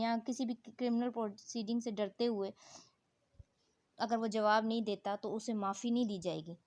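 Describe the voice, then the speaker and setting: A woman giving an explanatory lecture as a law teacher, reading formal text aloud and then explaining it didactically, rephrasing key points. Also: on the high side.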